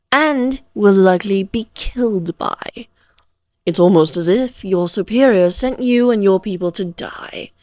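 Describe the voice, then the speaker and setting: A woman plays an ancient vampire lady in a Victorian fantasy audio drama, speaking slowly and close in a very dry space. She is formal and theatrical, taunting her listener with amused, aristocratic self-assurance. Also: medium pitch, a little mellow, no echo, natural voice.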